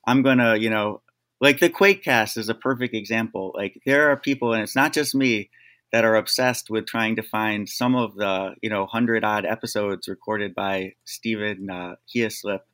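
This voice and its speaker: A man's voice: fairly low pitch, moderate pace, a bit bright, clean tone. A man appearing as a podcast guest, talking casually, rambling enthusiastically and leaning on "like" a lot. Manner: rambling, heavy use of "like", enthusiastic. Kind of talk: casual conversation.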